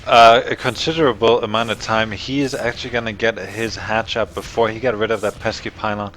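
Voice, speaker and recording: A man born in Germany casting a live strategy game, relaxed and conversational, thinking aloud with fillers. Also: on the low side, a little fast, a little hiss or hum. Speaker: esports caster.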